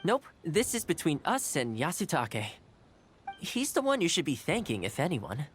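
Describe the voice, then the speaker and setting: Courteous young adviser, lively pitch. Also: male voice, slight background noise. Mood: friendly. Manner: polite, articulate, measured. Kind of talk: scripted game character dialogue. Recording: music behind the voice.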